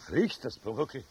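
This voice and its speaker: An actor playing a good-natured, grumbling older master craftsman with a Bavarian accent in a children's radio play, husky and homely. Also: on the low side, noisy background, full character voice.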